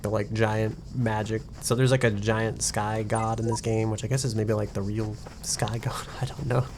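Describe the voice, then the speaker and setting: A man streaming a puzzle game. He talks fast and low-key, with plenty of "like" fillers and a somewhat nasal voice, stumbling over words now and then. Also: flat delivery, noisy background. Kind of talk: casual gaming commentary, reading game text aloud. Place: home room with a desk.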